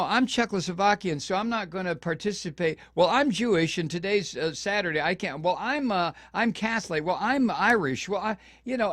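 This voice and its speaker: A man's voice: high voice, fairly bright tone. An older man hosting political talk radio, his voice riding up and down with feeling as he grows indignant. He talks on a close mic in a dry, dead room with no echo, stumbling and restarting as he presses his point. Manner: impassioned, indignant, with frequent restarts. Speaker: radio talk show host. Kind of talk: political commentary.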